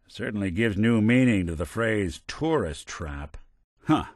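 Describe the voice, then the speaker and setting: A man's voice: sits low, no room sound. A man playing a world-weary, middle-aged android detective in a video game, with an American accent and a wry, hard-boiled way of talking. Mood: wry, musing. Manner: wry, dry, old-fashioned hard-boiled slang. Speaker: companion character in a video game.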